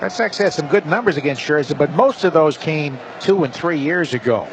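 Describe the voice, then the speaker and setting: A man's voice: a little high, lively intonation, moderate pace, poor audio. A man doing live play-by-play commentary as a baseball announcer. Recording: clear outdoor ballpark noise. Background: outdoor stadium ambience.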